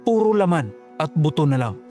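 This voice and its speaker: A man's voice: a little high, big pitch swings, moderate pace, warm tone, faint music behind. A man narrating a first-person horror story in a tense, dramatic way.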